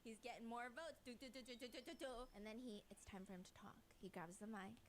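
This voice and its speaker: High-voiced woman telling stories casually. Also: fast, slight background noise. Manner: acts out quoted dialogue while recounting. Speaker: streamer recapping a dating show. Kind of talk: animated casual storytelling chat.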